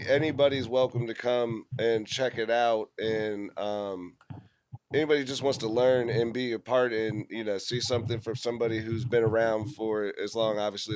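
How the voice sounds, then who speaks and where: A man's voice: mid-range voice, a little mellow. A man calling in to a radio show as a guest, explaining things in a loose, casual, conversational way. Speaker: call-in guest, event organizer.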